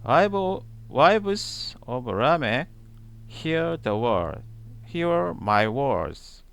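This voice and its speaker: A man reading scripture aloud in a halting way, phrase by phrase, with long pauses. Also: medium pitch, big pitch swings, vocal fry. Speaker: Bible reader, channel host. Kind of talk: slow read-aloud of scripture.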